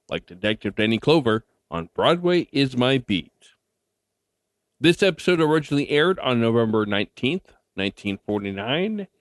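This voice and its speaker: A male podcast host reads a scripted introduction. His mid-range voice moves up and down in a lively way, and the sound is dry and close. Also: medium pitch, dry recording.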